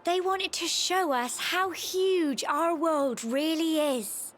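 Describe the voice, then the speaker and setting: A young woman voicing a sweet, earnest village girl in a video game, gentle and wide-eyed. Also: very high-pitched, slow pace, exaggerated voice. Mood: awed. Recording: clear music underneath.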